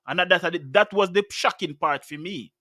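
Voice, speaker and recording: A man in his thirties with a Jamaican accent speaks with a bright, forceful voice into a close studio mic. The sound is dry, and he talks with passion, often repeating words as he pushes his point as host. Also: high voice, normal speed, dry recording. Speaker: talk show host. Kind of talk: heated casual discussion. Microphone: studio mic with headphones.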